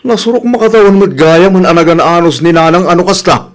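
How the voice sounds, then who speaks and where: A man's voice: high voice, brisk, a crowd in the background, lightly acted. A man playing a stern, protective elder brother in a radio drama, scolding, with an Ilocano accent. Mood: questioning.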